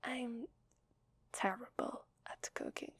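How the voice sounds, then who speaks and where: A woman with an Italian accent playing a shy, secretly needy vampire lady in a hesitant, breathy whisper for an ASMR roleplay. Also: fairly high pitch, steady tone, takes it slowly, dry recording. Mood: modest, hesitant. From Italy. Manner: hesitant, polite, soft-spoken. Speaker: ASMR voice actor.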